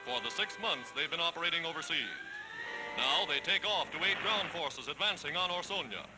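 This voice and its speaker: High-pitched American 1940s newsreel man over loud music. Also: lively intonation, a little fast, rough recording. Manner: dramatic, declamatory, formal. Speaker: newsreel narrator. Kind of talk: 1940s newsreel scripted narration.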